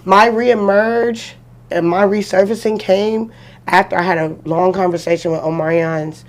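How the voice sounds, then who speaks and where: A woman's voice: mid-range voice, fairly even intonation, a little slow. A woman in her forties, a podcast guest telling her story in candid, emphatic casual talk full of slang. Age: 40s. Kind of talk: casual chat. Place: podcast studio.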